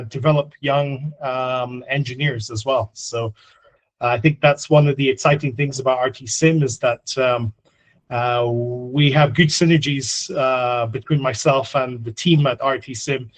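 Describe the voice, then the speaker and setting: A man's voice: medium pitch, poor audio. A man from Scotland who grew up partly in the Middle East, speaking informally as a guest director introducing himself on an online meeting. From Scotland, then the Middle East from age ten.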